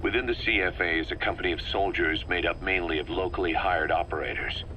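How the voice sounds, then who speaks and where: A man's voice: low voice, noisy background, natural voice. A man voicing a blunt mercenary commander. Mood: briefing. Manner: brisk, direct, blunt warnings. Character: gruff male mercenary commander giving a briefing. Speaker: commander giving a briefing. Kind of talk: video game voice acting.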